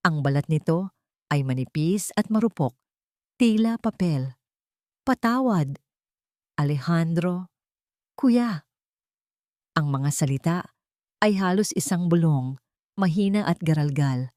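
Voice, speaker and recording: A woman narrating a scripted audio drama in a soft, solemn storytelling manner, close to the mic. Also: sits low, animated, moderate pace, pauses often, no echo.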